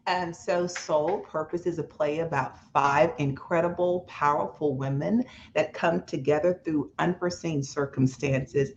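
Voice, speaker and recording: A woman's voice: low voice, a little hiss or hum. Effusive woman guest, slightly muffled recording. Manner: effusive, exclamatory, devout. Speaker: playwright, interview guest. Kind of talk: unscripted interview storytelling.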